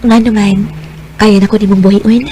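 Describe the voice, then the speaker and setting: A woman playing a gentle, devoted young Cebuano sweetheart in a Filipino radio drama. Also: medium pitch, level tone, moderate pace, slight background noise, lightly acted. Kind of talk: Bisaya radio drama acting.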